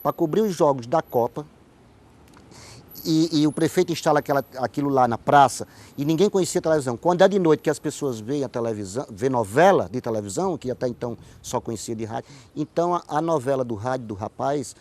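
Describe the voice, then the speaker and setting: Brazilian northeast guy, mid-pitched, chatting in an interview, tells stories and repeats himself for emphasis. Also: animated, quick pace, a little mellow. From Paraíba, Northeast Brazil. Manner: repeats words and phrases for emphasis. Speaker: writer and playwright, interview guest. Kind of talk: conversational interview answers, storytelling.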